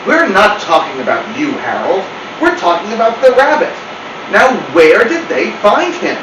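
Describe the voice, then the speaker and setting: A man's voice: sits high, huge pitch swings, normal speed, voice pushed up. A man voicing a bossy know-it-all pet cat, lecturing with insistent impatience. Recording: roomy, distant mic, clear noise.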